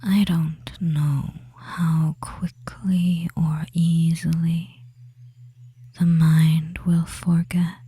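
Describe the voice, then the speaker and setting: A performer as a very slow whispering hypnotic witch. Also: medium pitch, flat delivery, warm tone, no echo, full character voice. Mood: soothing, hypnotic. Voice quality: breathy whisper. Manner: slow, soothing, hypnotic, pausing between short phrases. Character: devious male witch lulling a captive, soft and controlling. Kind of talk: ASMR hypnosis roleplay.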